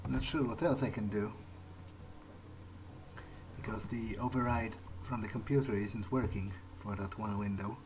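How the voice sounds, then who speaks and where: A man thinking aloud in character as he plays a roleplaying game, musing haltingly to himself. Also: on the low side, fairly even intonation, noisy background.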